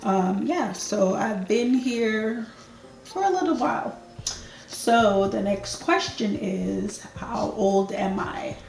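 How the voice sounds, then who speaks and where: A woman's voice: medium pitch, lively intonation, takes it slowly, creaky, a little mellow, roomy sound, rough recording. A Jamaican woman in her thirties, chatting casually and unhurriedly through a get-to-know-me Q&A for her channel, laughing easily. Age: 30s. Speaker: lifestyle YouTuber.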